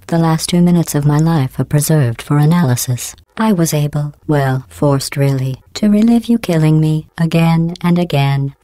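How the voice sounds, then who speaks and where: A woman voicing a cold, sarcastic female AI supercomputer in a video game, with a robotic vocal filter and a deadpan, passive-aggressive delivery. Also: sits low, dry recording. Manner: deadpan, passive-aggressive insults in a calm clipped cadence. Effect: robotic vocal processing.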